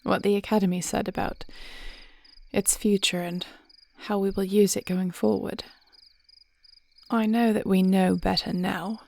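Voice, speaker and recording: A woman playing a devoted warrior princess in an intimate fantasy audio roleplay, speaking in measured, formal phrases with a reassuring, thoughtful manner. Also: mid-range voice, little pitch movement, gravelly, a little mellow. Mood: tender, relaxed. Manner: measured, articulate, formal old-fashioned phrasing.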